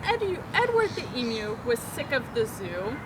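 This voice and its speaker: A woman reading a children's picture book aloud expressively, pausing to put questions to her listeners. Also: fairly high pitch, very animated, heavy background noise. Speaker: storytime reader, zoo educator.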